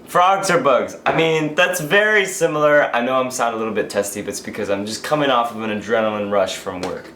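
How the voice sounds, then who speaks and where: A tired young man vlogging casually, hoarse and losing his voice from being sick, rambling and interrupting himself. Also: mid-range voice, a little fast, hardly any pauses, fairly bright tone, a little hiss or hum. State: tired after a long work shift. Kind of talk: casual daily vlog. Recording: echoey room.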